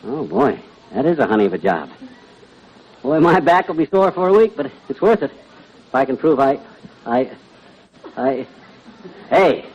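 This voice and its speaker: An actor playing a blustering, scheming middle-aged husband in 1950s radio comedy, folksy and exclamatory. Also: male voice, mid-range voice, big pitch swings, moderate pace, long pauses, darkish tone, husky, muffled recording. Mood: grumbling. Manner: exclamatory, grumbling, slangy. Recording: old radio broadcast, audience behind.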